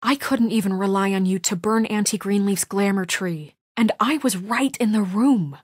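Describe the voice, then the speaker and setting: A poised young woman in charge, played by a game voice actress, speaks with measured, earnest authority. Her mid-pitched voice is formal and admonishing, delivered naturalistically as in a video game drama. The recording is dry, with no room sound. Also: a little mellow, no room sound, lightly acted. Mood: stern, reproachful. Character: poised, stern young woman leading a troubled town government.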